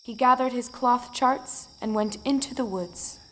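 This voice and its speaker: A woman narrating a folktale audio play in a dramatic, measured storytelling manner. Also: fairly high pitch, lightly acted. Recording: music under the voice.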